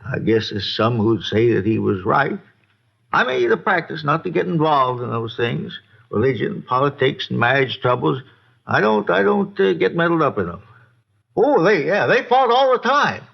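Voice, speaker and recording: A man's voice: fairly high pitch, normal speed, creaky, full character voice. An actor playing a folksy, boozy older American man who rambles and repeats himself in an old radio drama. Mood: evasive, rambling. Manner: rambling, repetitive, folksy, excitable. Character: rambling, boozy, folksy male neighbor witness. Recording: dull, lo-fi old radio recording.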